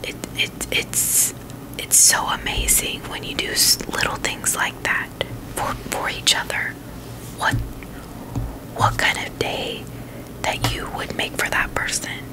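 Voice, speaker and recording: A woman whispering in a breathy, unvoiced voice, chatting casually and haltingly in a gentle ASMR style. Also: low-quality recording. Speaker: ASMR video host.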